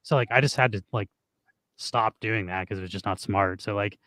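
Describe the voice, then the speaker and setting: A hoarse man co-hosting a stream, chatting casually and rambling with lots of "like". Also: lowish voice, fairly quick, pushed and bright, dry recording. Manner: rambling, heavy use of "like". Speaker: streamer co-host.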